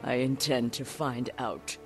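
Stern, grieving older samurai widow. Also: female voice, extremely low pitch, flat delivery, measured pace. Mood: stern, bitter. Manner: grave, clipped, resolute. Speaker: game character. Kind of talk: dramatic video game acting. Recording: music behind the voice.

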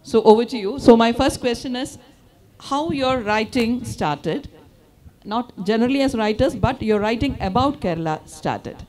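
A woman's voice: a little high, expressive, normal speed, a little mellow. A middle-aged woman on an outdoor stage moderating a literary panel into a handheld microphone, hesitant with many fillers.

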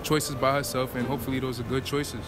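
A man's voice: medium pitch, flat delivery, fast talker. A young man answering street interview questions in a mall, in a slangy but thoughtful way. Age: young adult. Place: indoor shopping mall.

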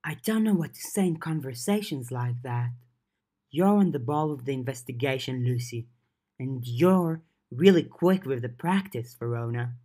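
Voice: mid-range voice, lively intonation, normal speed, warm tone, husky. A narrator voicing a kind, self-doubting teenage girl with a hesitant, modest manner.

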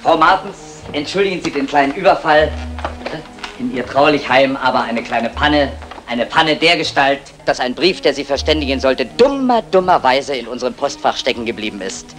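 A male actor plays a slick, bluffing crook from a 1960 radio crime play. His phrasing is florid and his intonation swoops freely over a medium pitch. Faint music sits behind him. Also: lively intonation, normal speed, fairly bright tone, lightly acted. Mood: unctuous, effusive. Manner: effusive, florid, wordy politeness. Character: smooth-talking fast-bluffing male crook posing as soap salesman.

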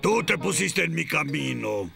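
An actor with a Latin American Spanish accent playing a boastful, grumpy old crab in a cartoon dub, rambling theatrically and dismissively. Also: male voice, fairly high pitch, very animated, measured pace, gravelly, pushed and bright, faint music behind, exaggerated voice.

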